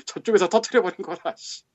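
A man's voice: high voice, a little fast. A man on a casual podcast, venting in an exasperated, grumbling way and muttering curses. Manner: exasperated, grumbling, swears under breath. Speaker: podcast co-host, game translator. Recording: lo-fi audio.

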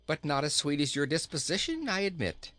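An irrepressibly cheerful storybook king, put on by a man, lifted high and swooping merrily up and down. He teases in a chuckling, singsong way. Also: sing-song, a little fast, sharp tone. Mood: jolly, teasing. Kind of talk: storybook character voice.

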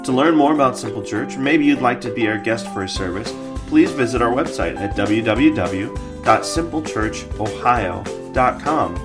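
A man's voice: medium pitch, expressive, normal speed, few pauses. A man reading a scripted podcast introduction as the announcer. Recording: guitar music bed behind voice. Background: plucked guitar music.

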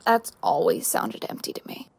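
A woman's voice: moderate pace, darkish tone, natural voice. A woman acting in an audio drama as a defiant, wounded young daughter, confrontational and emotionally raw. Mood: hurt, bitter.

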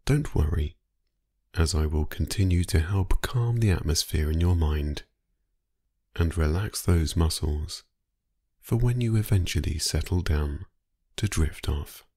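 A man narrating a guided sleep meditation in a calm, soothing, hypnotic manner with long pauses. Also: a bass voice, husky, dry recording, studio quality.

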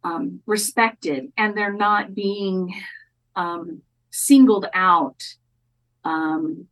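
A woman community college educator speaking as a podcast guest, careful and measured, often restarting her phrases. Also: medium pitch, fairly bright tone, low-quality recording. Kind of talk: thoughtful podcast interview talk.

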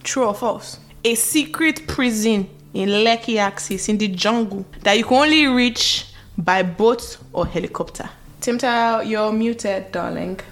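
A woman's voice: medium pitch, a little slow. A woman with a Nigerian accent hosting a quiz in casual, teasing storytelling, with dramatic emphasis. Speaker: trivia quiz host.

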